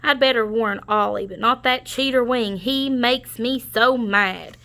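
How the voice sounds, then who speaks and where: A spirited female storybook turkey, sassy and quick to exclaim, played by a woman reading aloud. Her voice sits high and has a bright edge. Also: gravelly, heavily acted. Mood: indignant.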